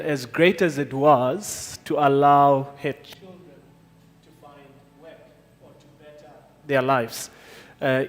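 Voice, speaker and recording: A man on a panel answering in a heartfelt, searching way, often repeating words as he gathers his thoughts. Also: fairly high pitch. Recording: reverberant hall.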